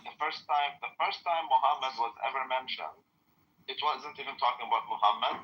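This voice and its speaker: A man from Jordan argues in a casual online chat, blunt and sarcastic. His voice is bright and forward, at an ordinary middle pitch. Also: muffled recording.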